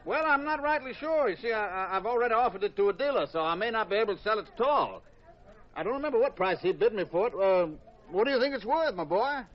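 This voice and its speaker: A dull vintage radio drama recording carries a big used-car salesman. His voice sits fairly high for a man, and he talks in glib, folksy patter with a belligerent streak underneath. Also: expressive, quick pace. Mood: glib, evasive. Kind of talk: 1950s radio detective drama acting.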